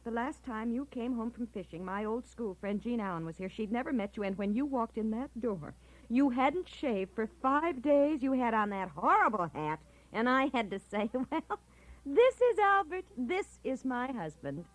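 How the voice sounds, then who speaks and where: An actress playing a brisk, placating wife with an American accent in a 1960s radio comedy sketch, coaxing and chiding. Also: female voice, a little high, animated, lightly acted, poor audio. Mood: exasperated. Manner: coaxing, chiding, swooping emphasis. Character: brisk, placating wife humouring her fussing husband.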